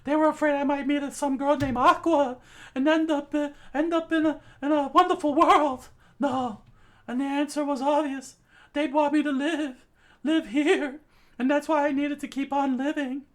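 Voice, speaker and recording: Woman voicing jittery teenage anime boy, high-pitched, excitable, rambling, stumbling over words. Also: normal speed, lightly acted. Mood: earnest. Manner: rambling, excitable, breaks into laughs.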